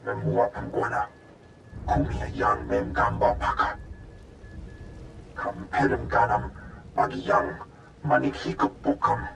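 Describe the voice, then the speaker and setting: An actor playing a male alien in a video game, speaking an invented tongue with a guttural, gravelly voice. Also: mid-range voice, flat delivery, measured pace, pauses often, a bit bright, echoey room, faint music behind, rough recording. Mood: informative.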